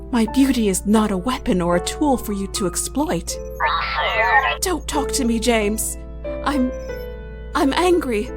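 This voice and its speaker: A woman playing a naive, indignant alien woman in a fan audio drama, speaking earnestly in formal, stilted wording. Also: fairly high pitch. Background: background music.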